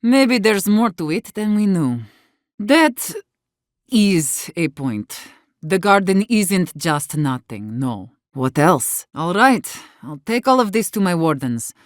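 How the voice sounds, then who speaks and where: Blunt, low-voiced outcast warden leader. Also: female voice, sing-song, slow pace, no echo, studio quality. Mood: earnest, hopeful. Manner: blunt, commanding, swearing. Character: defiant, blunt, battle-hardened woman leading exiled outcast wardens. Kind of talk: video game character dialogue.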